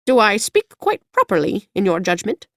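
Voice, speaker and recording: A woman voicing a fussy, proper yellow hen in a storybook reading, with a prim, confiding manner. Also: medium pitch, wildly swooping pitch, moderate pace, no echo, studio quality, pitched above the performer's own voice. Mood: prim chatter. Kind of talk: audiobook character voice.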